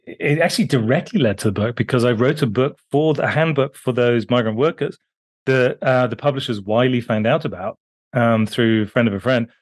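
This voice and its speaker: A British man in his fifties, a guest author on a remote call, telling his story in a lively way that jumps up in pitch for emphasis. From Britain. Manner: animated, pitch jumping up on emphasis, frequent restarts. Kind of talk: conversational interview storytelling.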